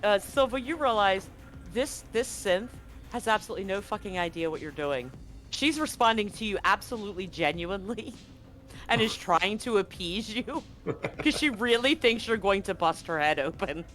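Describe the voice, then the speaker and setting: A woman's voice: medium pitch, lively intonation, moderate pace, fairly bright tone, soft background music. A woman game master casually narrating a livestreamed tabletop game to her players and viewers.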